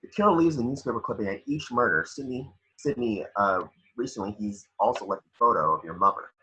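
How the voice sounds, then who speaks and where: Male detective, read by a man at a table read: businesslike, questioning, low-leaning pitch, sharp tone. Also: animated, fairly quick, rough recording. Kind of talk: amateur at-home screenplay table read.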